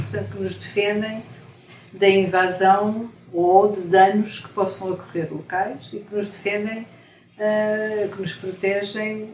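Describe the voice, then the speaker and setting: Portuguese woman explaining in a roomy echo. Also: mid-range voice. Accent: European Portuguese. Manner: explains with analogies, frequent tag questions. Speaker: interviewed scientist. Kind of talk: explanatory interview talk. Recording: echoey room, faint noise.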